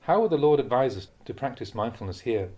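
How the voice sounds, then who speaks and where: Measured man reading a Buddhist talk. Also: medium pitch, animated, mellow. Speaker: Buddhist monk reading translated teachings aloud. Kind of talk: audiobook-style reading of a dharma talk.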